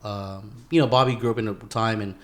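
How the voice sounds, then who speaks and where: A man's voice: on the low side, fairly even intonation, a little fast, fairly bright tone. Slangy man chatting on a podcast. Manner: profane, slangy, says bro, dude and man often. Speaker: podcast host. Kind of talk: casual podcast chat.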